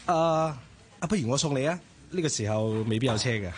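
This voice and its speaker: An actor playing a polite, bookish, gently flirtatious gentleman in a radio drama, with a Hong Kong Cantonese accent. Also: medium pitch, lively intonation, fast, a little hiss or hum, lightly acted. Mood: friendly. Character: courteous, bookish gentleman, friendly and attentive suitor.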